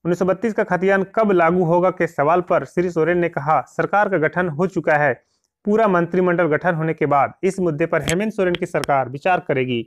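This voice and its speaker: Man, high-pitched, online host, informal news explainer, direct address to viewers. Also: moderate pace. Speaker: YouTube channel host. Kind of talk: informal news update, partly reading aloud from a newspaper.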